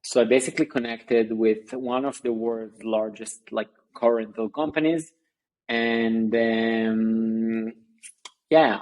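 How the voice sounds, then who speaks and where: Man, thirties, video call, middle pitch, halting, lots of fillers. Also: a little mellow, rough recording. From Israel. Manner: halting, many fillers and restarts. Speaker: guest founder. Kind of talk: casual interview chat.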